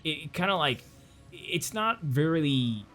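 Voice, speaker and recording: A young man chatting casually while playing a video game, rambling and self-deprecating and losing his train of thought, with soft game music behind him. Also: a little high, expressive, a little slow. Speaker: gaming video host. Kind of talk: casual sleepy gameplay commentary.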